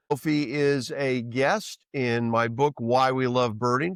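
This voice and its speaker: A man's voice: mid-range voice, a bit bright, no echo. A man hosting an interview podcast over a remote call, chatty and self-deprecating with many fillers, while he has bronchitis. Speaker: podcast host.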